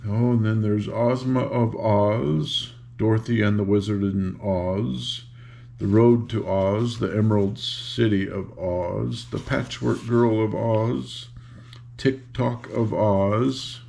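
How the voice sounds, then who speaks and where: An older man reading a children's book aloud in an unhurried, informal, grandfatherly way, with a gravelly voice and casual asides. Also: on the low side, steady tone, dark tone. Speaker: storybook reader and host.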